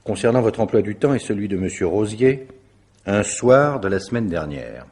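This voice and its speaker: An actor playing a courteous, probing police officer in a French radio mystery drama, formal and politely insistent. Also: male voice, on the low side, lively intonation, normal speed, a little mellow, husky.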